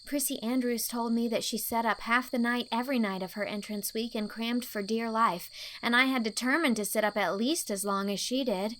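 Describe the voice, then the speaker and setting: A woman's voice: a little high, fairly even intonation, normal speed, fairly bright tone, lightly acted, rough recording. A woman playing a dramatic, anxious, chatty teenage schoolgirl in a storybook reading, speaking earnestly and wordily.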